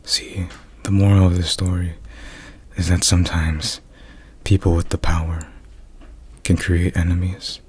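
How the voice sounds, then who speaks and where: A young man whispering ASMR rambles and improvised stories in a hushed, playful way with drawn-out phrasing. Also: low voice, level tone, breathy edge. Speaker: ASMR video creator.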